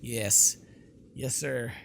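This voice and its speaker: A man's voice: mid-range voice, steady tone, extremely slow, gravelly, a bit bright, a little hiss or hum. A man doing jokey, bro-ish game commentary and expressively reading story text aloud as a let's player.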